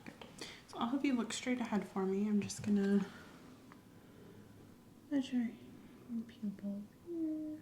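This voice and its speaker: A soft-spoken woman in an ASMR medical roleplay, gently and calmly playing an examiner, often dropping into a whisper. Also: fairly high pitch. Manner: gentle, reassuring, unhurried clinical narration. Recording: roomy echo, faint ambient noise.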